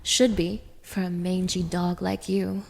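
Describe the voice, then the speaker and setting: A woman's voice: on the low side, level tone, measured pace, gravelly, darkish tone. A woman playing a cruel, haughty young dominatrix in an audio roleplay, speaking straight to the listener with taunting, commanding contempt. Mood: contemptuous, commanding. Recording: reverberant, echoing room.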